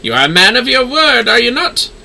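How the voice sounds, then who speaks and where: Man putting on a flamboyant, high-voiced fantasy nobleman. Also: very animated, a little fast, gravelly, bright, forceful tone, exaggerated voice. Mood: gracious, effusive. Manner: florid, theatrical, formal speech. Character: flamboyant, eloquent nobleman, effusive patron of a workshop. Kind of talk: fantasy game dialogue read aloud.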